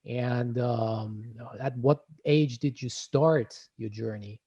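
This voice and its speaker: A man's voice: mid-range voice, slow pace, warm tone, dull audio. A man hosting an interview show, speaking earnestly with fillers and self-corrections. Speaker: host. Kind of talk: casual interview chat.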